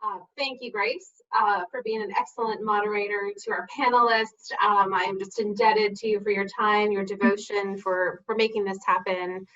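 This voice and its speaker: A woman gives grateful thanks to a team on a video call, hesitating often with filler sounds. Her mid-pitched voice sounds dull and lo-fi through the call. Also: steady tone, moderate pace, hardly any pauses, fairly bright tone. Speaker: event organizer.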